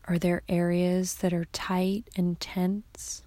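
Hushed, very slow woman meditation guide. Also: fairly low pitch, no room sound. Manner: soft, hushed, half-whispered delivery; calm, soothing instructions. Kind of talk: guided yoga nidra meditation.

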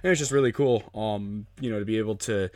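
A man's voice: on the low side, fast talker, darkish tone, no room sound, clean studio sound. A man in his twenties hosting a podcast, chatting eagerly and rambling with lots of fillers and laughs. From Connecticut, US East Coast. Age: 20s. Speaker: podcast host. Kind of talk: casual interview chat.

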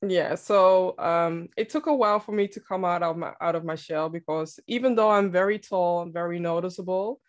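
A woman with a Dutch accent, whose first language is Dutch, talking as a guest in a casual interview, reflective and quick to laugh. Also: on the low side. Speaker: podcast guest, entrepreneur.

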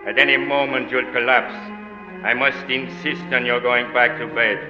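An actor playing a sinister doctor, speaking in a formal, clipped, coldly polite way, in 1940s radio acting. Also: male voice, mid-range voice, little pitch movement, moderate pace, music in the background, lightly acted. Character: smooth, sinister middle-aged male doctor. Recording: muffled 1940s radio broadcast in a roomy echo.

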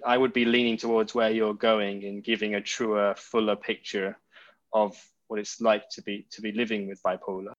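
A man's voice: on the low side, flat delivery, moderate pace, highs cut off. A man hosting a podcast interview in a thoughtful, conversational way, choosing his words tentatively.

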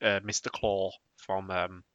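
A man chatting casually as a podcast co-host, talking in a halting, rambling way and often trailing off. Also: low-pitched, flat delivery, fairly bright tone, no echo, dull audio. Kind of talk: casual chat about anime voice acting.

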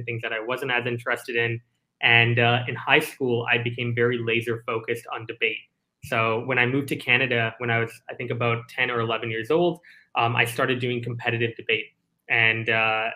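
A man's voice: mid-range voice, sharp tone, poor audio. A man in his twenties from Singapore, a startup CEO telling his story in an articulate, thoughtful way during a casual interview. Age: 20s.

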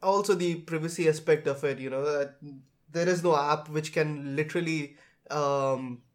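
A man's voice: on the high side, fairly even intonation, moderate pace. A man hosting a casual tech podcast, talking in an informal, conversational way. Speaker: podcast host.

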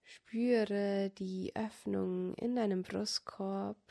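Soft calm lady leading yoga nidra, super slow, gravelly fry at the edges, long pauses. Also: medium pitch. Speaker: yoga and meditation teacher, podcast host. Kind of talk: guided yoga nidra meditation.

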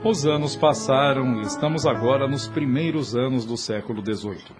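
A man narrating a radio drama in a measured, solemn way. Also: mid-range voice, over loud music.

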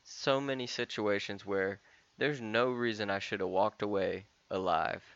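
A man's voice: fairly low pitch, measured pace, fairly bright tone, no echo, lo-fi sound. A man of about thirty talking casually and candidly in a rambling solo podcast monologue, often restarting and swearing. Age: 30s. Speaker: podcast host.

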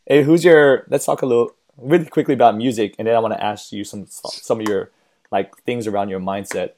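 A man hosting a podcast in casual chat, with a hoarse, raspy voice from losing it, laid-back and quick to laugh. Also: on the low side, darkish tone. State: hoarse, lost voice. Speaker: podcast host.

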